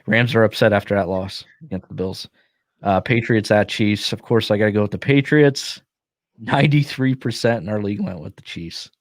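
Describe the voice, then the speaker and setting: A low-voiced man chatting through his football predictions on a podcast, voice worn and starting to give out. The sound is dry and close, with no room around it, and he delivers his picks with a joking, opinionated edge. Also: normal speed, dry recording. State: losing his voice. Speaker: podcast host. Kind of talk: casual sports podcast chat.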